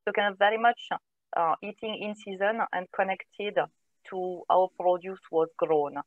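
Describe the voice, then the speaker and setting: A woman's voice: medium pitch, fairly even intonation, a little slow, no echo. French-accented woman on a tinny video call. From northern France. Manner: hesitant, many filler sounds. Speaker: guest, company co-founder. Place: home room, indoors. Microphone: wired earphone headset on video call. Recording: dry, tinny call audio.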